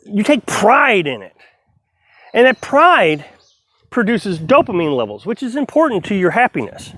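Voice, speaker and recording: A man's voice: high-pitched, sing-song, normal speed, fairly bright tone, no room sound. A middle-aged man vlogging outdoors on his homestead, talking casually and earnestly while he splits firewood. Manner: rambling, earnest, self-reflective.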